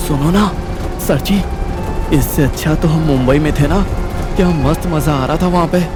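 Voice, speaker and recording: A man's voice: on the high side, moderate pace, music in the background, voice pushed up, close to an everyday voice. A man voicing a cheeky, whiny young comic sidekick in a Hindi audio drama. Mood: cheeky, pleading.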